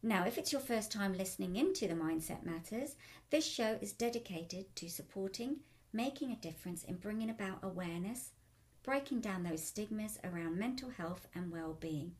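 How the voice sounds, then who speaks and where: A woman hosting a radio talk show as a life coach, upbeat and chatty, her voice still croaky. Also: mid-range voice, expressive, normal speed, darkish tone.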